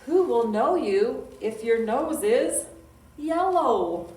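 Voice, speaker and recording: Female children's storytime host, unhurried and playful, sing-song delivery, recorded at a distance in a roomy space. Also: a little high, expressive, darkish tone. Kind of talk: children's storytime talk, reciting poems and singing. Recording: roomy echo, distant mic, faint noise.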